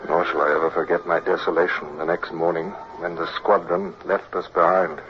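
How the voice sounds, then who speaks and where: An actor playing an earnest young British naval officer who narrates and gives orders in an old radio drama. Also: male voice, sits low, flat delivery, brisk, over music, natural voice. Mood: grave, reflective. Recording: noisy, dull old radio recording.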